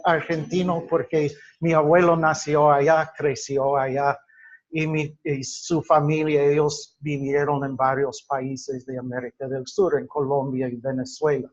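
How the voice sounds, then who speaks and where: A man gives an explanatory lecture, illustrating it with personal examples. His voice sits slightly high and he speaks very slowly and deliberately, halting between phrases. The recording is dull and lo-fi. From United States. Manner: halting, deliberate, with drawn-out pauses. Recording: dull lo-fi sound.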